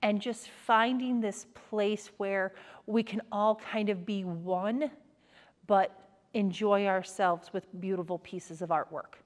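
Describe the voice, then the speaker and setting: Slow-paced woman in a reverberant room. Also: mid-range voice, fairly even intonation. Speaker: arts center assistant director. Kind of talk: interview answer. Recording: roomy reverberant space.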